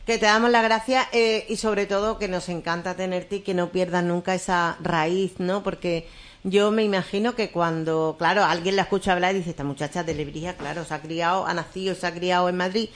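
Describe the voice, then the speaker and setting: A woman's voice: lowish voice, steady tone, a little fast. A woman radio host asking friendly, rambling questions in an interview.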